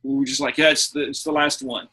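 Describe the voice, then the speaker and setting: Bright, slightly husky man, emphatic podcast host. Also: on the high side, level tone, fast talker. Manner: emphatic, opinionated, profane. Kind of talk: casual pop culture debate.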